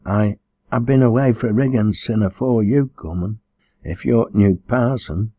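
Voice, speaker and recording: A man's voice: fairly low pitch, a little slow, vocal fry, warm tone, breathy edge, no room sound, pitched above the performer's own voice, heavily acted. A man voicing a frightened, weak young working-class mother in an audiobook, faltering and aggrieved in a Lancashire accent. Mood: nervous, faltering. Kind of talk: audiobook character dialogue.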